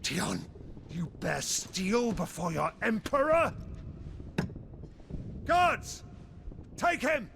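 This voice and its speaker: A haughty, wildly animated older emperor. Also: male voice, extremely slow, gravelly, pauses often, exaggerated voice. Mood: furious command. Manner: haughty, commanding, theatrical. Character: imperious emperor and father, proud, outraged, commanding. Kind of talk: dramatic video game voice acting. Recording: loud rumbling background noise.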